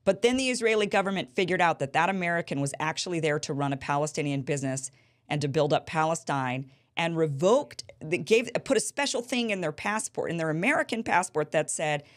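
A woman in her thirties hosting a political interview show, telling stories with animated gestures in a small studio. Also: low-pitched, fairly quick. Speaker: host. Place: small studio with a bright backdrop. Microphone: studio mic on a boom.

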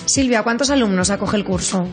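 A woman's voice: medium pitch, a little fast, soft background music. A woman working as a TV reporter, putting questions to interviewees.

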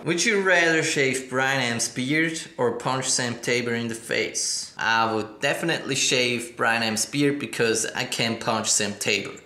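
Upbeat young man, bright echoey voice. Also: mid-range voice, unhurried, hardly any pauses. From Switzerland. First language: German. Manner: upbeat, chatty, reads questions aloud. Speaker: skateboarding vlogger. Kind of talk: casual Q&A vlog. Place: living room, on a sofa, indoors. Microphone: fixed camera across the room. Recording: echoey room.

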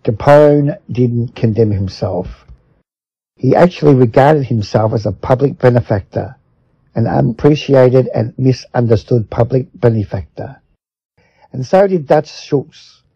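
A man reading a self-help book aloud as an audiobook, in measured phrase-by-phrase narration. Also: medium pitch, gravelly, dark tone, breathy edge. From Australia. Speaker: audiobook reader. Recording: dull lo-fi recording.

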